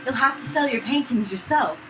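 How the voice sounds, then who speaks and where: A woman's voice: fairly high pitch, a little fast. A young woman co-hosting a let's play, reading game dialogue and chatting casually with a giggly, teasing manner. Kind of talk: casual chat and reading a visual novel aloud. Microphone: fallback mic after the main one failed. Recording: noisy, no proper microphone.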